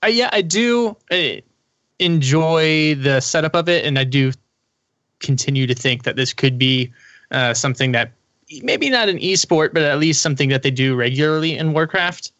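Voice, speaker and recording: Bright-voiced man in casual podcast chat. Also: fairly high pitch, lively intonation, moderate pace, dry recording, highs cut off. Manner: informal, conversational. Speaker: podcast panelist.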